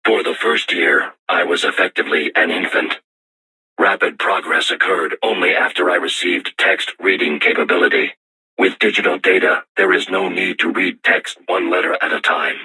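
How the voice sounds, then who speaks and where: Very deep, robotic war machine. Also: male voice, steady tone, bright, forceful tone, husky, full character voice, low-quality recording. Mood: solemn, formal. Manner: formal, measured, stilted machine-like diction. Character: solemn self-aware robot war machine, young but erudite. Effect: robotic voice processing. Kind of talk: scripted video game voice acting.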